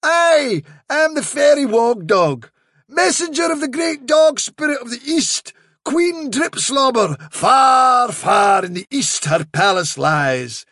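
Sly fairy dog herald, storybook character, lofty, flattering, theatrical, very slow, swooping high pitch. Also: male voice, dry recording, studio quality, heavily acted. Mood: grand, coaxing. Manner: grandiose, drawn-out, theatrical flattery. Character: wily trickster posing as grand, flattering fairy dog messenger. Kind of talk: dramatic storybook reading.

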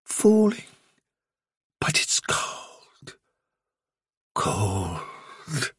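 A man's voice: high-pitched, husky, no echo, clean studio sound, voice pushed up, heavily acted. A man voicing a frail, feverish rabbit seer speaking as if in a trance in a storybook reading. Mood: fading, drowsy. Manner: slow, drowsy, muttering or yelping. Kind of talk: audiobook character acting.

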